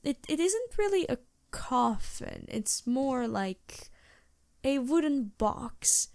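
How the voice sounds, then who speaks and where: An actress plays a polite vampire woman passing as a humble traveling merchant. Her voice sits a bit high and comes through very dry, with no room sound. She speaks in an anxious, hesitant way, stumbling over words and apologizing as in an intimate fantasy audio drama. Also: big pitch swings, takes it slowly, vocal fry, darkish tone, no echo, full character voice. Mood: sheepish. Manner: hesitant, stammering, courteous, apologetic. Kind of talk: fantasy roleplay audio drama, addressing the listener.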